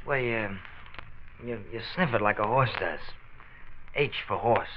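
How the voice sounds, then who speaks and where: A man's voice: lowish voice, unhurried, close to an everyday voice. An actor playing a sly, offhand teenage boy who coaxes friends toward drugs in a 1940s radio drama. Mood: sly coaxing. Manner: coaxing, offhand. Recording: muffled, noisy old radio recording.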